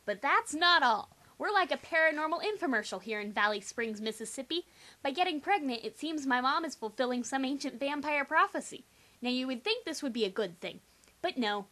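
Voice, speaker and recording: Bright-voiced young woman reading theatrically. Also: sits high, expressive, fairly quick. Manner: exaggerated, sarcastic, theatrical emphasis. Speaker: amateur reader. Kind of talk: dramatic reading of a first-person teen novel.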